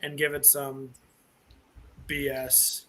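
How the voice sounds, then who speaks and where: Bright-voiced enthusiastic male host. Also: medium pitch, completely flat delivery, unhurried. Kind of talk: casual chat.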